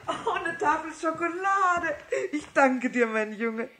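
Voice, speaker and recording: An actress playing a gentle, modest, soft-spoken mother of a young boy in a 1960s radio play. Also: sits high, expressive, takes it slowly, exaggerated voice. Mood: touched. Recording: slightly noisy old radio-play record.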